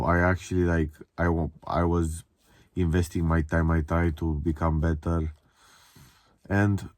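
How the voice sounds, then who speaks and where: Young romanian guy, really low mellow voice, just rambling honestly to camera, sweary. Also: no room sound. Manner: candid, reflective, swearing. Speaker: vlogger. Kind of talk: casual confessional monologue to camera.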